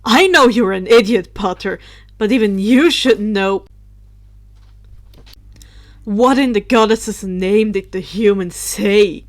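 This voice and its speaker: A woman's voice: mid-range voice, takes it slowly, pauses often, close to an everyday voice. A woman voicing a brash, exasperated male Dementor creature in a fan fiction reading, blunt and scornful.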